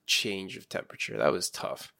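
Male co-host, slightly sick with a cold, fry-heavy lower voice, wry casual complaining, dry room. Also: big pitch swings, moderate pace, pushed and bright, dry recording. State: coming down with a cold. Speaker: podcast co-host. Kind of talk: casual chat.

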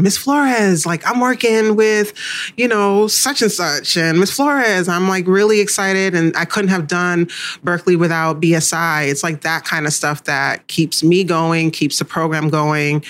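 A woman's voice: fairly low pitch, a bit bright. A woman who works in student success, talking enthusiastically in a casual interview.

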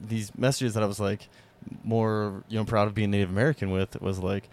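A man's voice: on the low side, level tone, a little fast, darkish tone. A man hosting a podcast in casual chat, agreeable and informal, rambling as he goes. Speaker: podcast host.

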